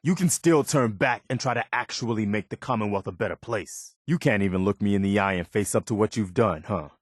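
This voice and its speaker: An earnest militia officer for a video game. His voice sits fairly low and is recorded dry and close. He speaks sincerely and plainly, as a loyal, principled companion with firm morals. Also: fairly quick, slightly rough, no room sound. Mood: disappointed, stern.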